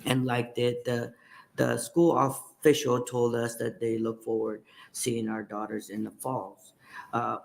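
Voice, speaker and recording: Guatemalan guy, k'iche' first language, halting testimony with lots of uhs, gravelly. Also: mid-range voice, slight background noise, poor audio. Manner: halting, many fillers. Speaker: testifier at a hearing. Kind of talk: reading prepared testimony.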